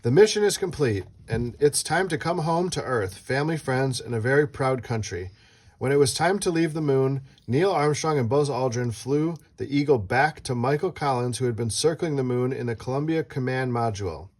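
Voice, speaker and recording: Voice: medium pitch, expressive, normal speed, darkish tone. Someone reading a children's book aloud as host, playfully asking a child questions and adding casual asides.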